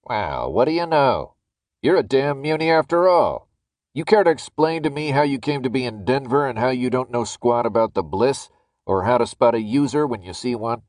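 A man's voice: mid-range voice, expressive, moderate pace, a little mellow, no echo, close to an everyday voice. Male narrator as angry guard, stern, menacing, curt commands, dry close audiobook sound. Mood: sardonic. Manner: barking, curt, menacing orders. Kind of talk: audiobook character dialogue.

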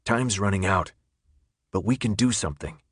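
A young rebel man who gives little away, played by a male narrator. He talks tersely and keeps his guard up, in a fairly low voice recorded dry for an audiobook. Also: normal speed, breathy edge, no echo. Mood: urgent.

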